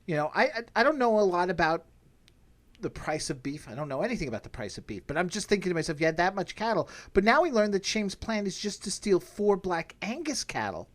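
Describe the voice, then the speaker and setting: An American man talks as a podcast co-host in a casual recap style. His pitch moves around a lot, giving the chat an animated shape. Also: brisk, slight background noise.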